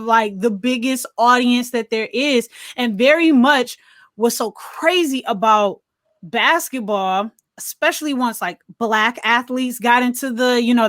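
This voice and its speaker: An American woman with a fairly high voice talks in a dry, close recording. She is a podcast co-host in casual discussion, searching for words and leaning on little filler phrases as she goes. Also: a bit bright, dry recording.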